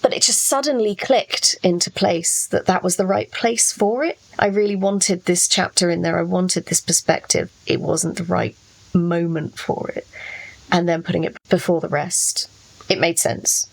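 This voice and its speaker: A woman with a fairly low voice and noticeable creak talks as an author in a relaxed podcast discussion. She is reflective and explains her points from personal experience. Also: moderate pace, rough recording. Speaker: fiction author, podcast guest.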